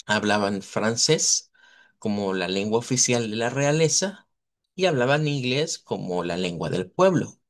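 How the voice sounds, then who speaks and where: A man teaching a live online language class. He explains at length, models sounds slowly and often checks in with his students. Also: fairly low pitch, lively intonation. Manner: checks in with students, asks "verdad".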